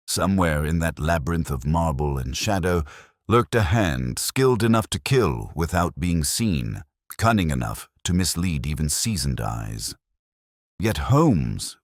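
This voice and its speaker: Very deep male mystery narrator. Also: dry recording, studio quality. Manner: measured, formal storytelling. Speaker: audiobook narrator as Dr Watson. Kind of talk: scripted dramatic mystery narration.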